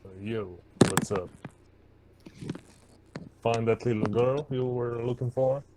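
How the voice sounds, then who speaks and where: A man's voice: mid-range voice, slow. A man playing a tabletop game, speaking casually in character. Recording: noisy microphone with clicks.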